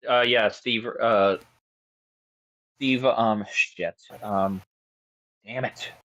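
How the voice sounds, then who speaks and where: A man's voice: fairly low pitch, fairly even intonation, very slow, fairly bright tone. A man chatting casually as he co-hosts a watch-along commentary. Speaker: show host. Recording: slightly dull, lo-fi microphone.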